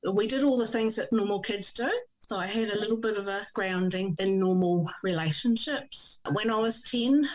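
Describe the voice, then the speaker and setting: Measured New Zealand woman in her fifties. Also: medium pitch, low-quality recording. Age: 50s. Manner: measured, with frequent pauses and ums. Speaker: podcast guest. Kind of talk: personal testimony interview.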